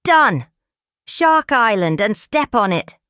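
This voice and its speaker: A woman voicing a gruff, bossy pirate captain from a children's storybook, growling and barking orders. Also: on the high side, sing-song, slow pace, fairly bright tone, dry recording, studio quality, pitched above the performer's own voice, exaggerated voice. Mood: demanding.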